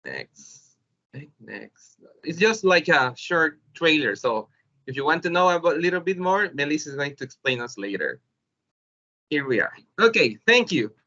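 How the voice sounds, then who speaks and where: A man from El Salvador with a Salvadoran Spanish accent, a teacher presenting informally and haltingly in his second language on a video call. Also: a little high, bright, forceful tone. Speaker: presenter, educator. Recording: dull, lo-fi video call audio.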